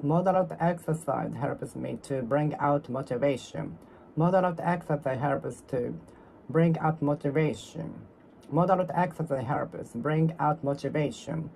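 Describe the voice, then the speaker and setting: A speaker from Japan with a Japanese accent, practicing English alone, repeating and reworking each sentence in a careful, halting way. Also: medium pitch, vocal fry, a little mellow, slight background noise, low-quality recording. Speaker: English learner. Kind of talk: solo speaking practice, talking to oneself.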